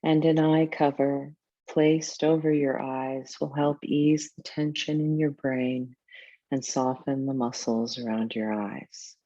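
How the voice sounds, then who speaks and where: A woman teaching yoga nidra, narrating a guided meditation in a soothing, deliberate way with measured pauses. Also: extremely low pitch, fairly even intonation, warm tone, no room sound, lo-fi sound.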